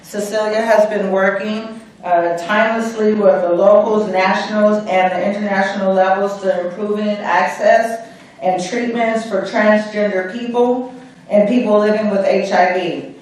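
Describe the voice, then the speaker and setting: A woman's voice: mid-range voice, fairly even intonation, a little mellow, far from the mic. Woman emcee stumbling through an intro, echoey hall. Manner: halting, stumbles over words. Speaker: event emcee. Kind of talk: reading an introduction. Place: event hall.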